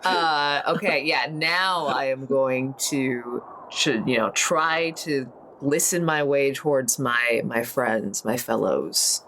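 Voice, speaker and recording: A woman playing a tabletop game, chatting casually and thinking aloud in halting phrases. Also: very deep voice, a bit bright, slight background noise.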